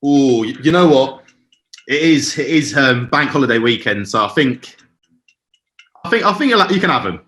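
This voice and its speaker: A man hosting a quiz over a call, bantering in a jokey, relaxed way. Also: mid-range voice, normal speed, pauses often, echoey room. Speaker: quizmaster. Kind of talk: casual chat.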